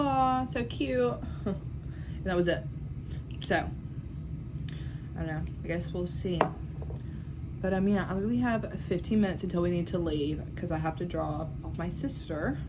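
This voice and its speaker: A young woman in her late teens chats to a camera propped on a tripod at home, filling her talk with little ums and likes. Her voice sits at an ordinary pitch for a woman. A steady hiss of background noise runs under the recording. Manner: chatty, lots of "like" and "um" fillers. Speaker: vlogger. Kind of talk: casual vlog chat. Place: at home in a bedroom. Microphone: phone or camera on a tripod.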